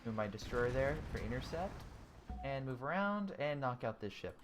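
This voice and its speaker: A young man in his twenties casually commentating a strategy game he is playing, thinking out loud with many ums and restarts while answering viewers' chat. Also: mid-range voice, sing-song, moderate pace, background noise. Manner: thinking aloud, frequent ums and self-corrections. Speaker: gaming YouTuber. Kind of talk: casual let's-play commentary, reading chat.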